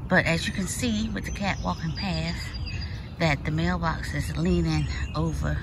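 A woman narrates her yard project in a relaxed, homey voice and keeps calling her viewers y'all. She was recorded outdoors on a phone a few feet away. Clear background noise sits under her voice. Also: low voice. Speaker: DIY channel host. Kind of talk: casual how-to vlog narration. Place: outdoors by a mailbox in a suburban front yard.

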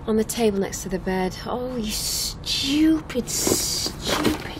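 A young woman in a radio drama, riding as a hitch-hiker in a noisy vehicle. She is flustered and apologetic, with a halting, hesitant delivery. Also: on the high side, animated. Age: young adult. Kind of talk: naturalistic radio drama acting. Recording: clear vehicle noise behind. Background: car interior ambience, engine noise.

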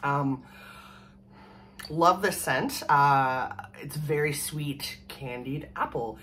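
A woman in her thirties from Canada, hoarse from a cold, chatting casually and rambling to the camera at home. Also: low voice, drawn-out words, a bit bright, slight background noise. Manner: rambling, chatty, laughs often. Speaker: vlogger. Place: small room at home, indoors. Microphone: camera in front at desk distance.

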